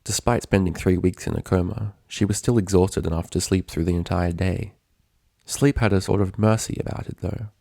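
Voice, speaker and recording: A man's voice: low-pitched, steady tone, normal speed, vocal fry, warm tone, no room sound, studio quality. A man reading a story aloud as a narrator, in scripted literary prose. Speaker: fan fiction narrator.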